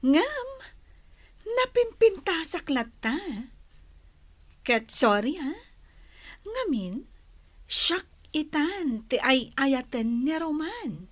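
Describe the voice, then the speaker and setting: A woman's voice: high voice, sing-song, very slow, pauses often, exaggerated voice. An actress playing a sweet-talking, gushing woman who courts her rival's friendship in an Ilocano radio drama. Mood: eager, coaxing.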